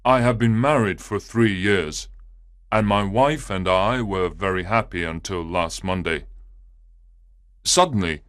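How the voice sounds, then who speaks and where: Rich: A troubled husband from a storybook, earnest and anxious as he lays out his story, as a man reads him. His voice sits fairly low and the sound is close and dry. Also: unhurried, a bit bright, no room sound. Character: troubled, upset married businessman.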